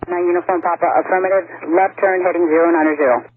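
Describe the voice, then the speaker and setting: A woman's voice: on the low side, steady tone, quick pace, phone-quality sound. A woman working as an air traffic controller gives procedural instructions over aviation radio.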